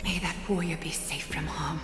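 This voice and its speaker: An actress playing a stately priestess-leader in a fantasy game, formal, measured and regal as she presides over a ritual. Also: low voice, fairly even intonation, natural voice. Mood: solemn, ceremonial. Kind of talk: scripted fantasy game voice acting. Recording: reverberant hall echo over music.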